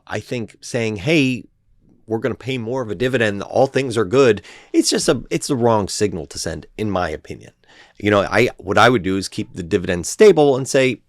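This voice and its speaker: A man's voice: lowish voice, no echo, clean studio sound. A man hosting an investing channel, giving stock analysis commentary in an emphatic, analytical way, a little stuffy from a head cold. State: slightly congested from a head cold.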